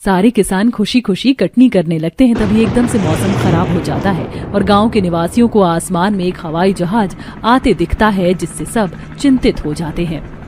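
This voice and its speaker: A woman's voice: mid-range voice, normal speed, noisy background. A woman reading scripted narration for a cartoon story.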